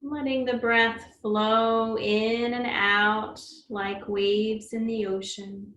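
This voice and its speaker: A woman leading a guided meditation as a teacher, speaking gently and soothingly, unhurried, with long pauses. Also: a little high, little pitch movement. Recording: roomy echo, faint background noise.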